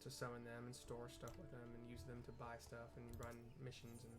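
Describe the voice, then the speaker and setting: A man's voice: medium pitch, completely flat delivery, fairly quick, over loud music. A man with an American accent casually narrating a video game playthrough, describing scenes in a hesitant, rambling way. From US Pacific Northwest.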